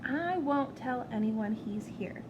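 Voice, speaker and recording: A woman's voice: a little high, expressive, slow pace, muffled recording, background noise. A woman voicing a friendly, very tall male security guard in a storybook. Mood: warm, teasing.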